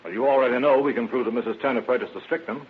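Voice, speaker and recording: An actor playing a formal, confident district attorney in an old radio drama. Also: male voice, medium pitch, racing, close to an everyday voice. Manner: formal, confident courtroom questioning and argument. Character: assured, formal male prosecuting district attorney. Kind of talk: 1940s radio acting. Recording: muffled, noisy old radio recording.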